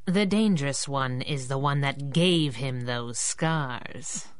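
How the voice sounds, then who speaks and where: An actress playing a brash, wisecracking masked sidekick in a 1930s-style radio drama, sassy and slangy. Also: female voice, a bass voice, slow pace, slight background noise. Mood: brisk teasing.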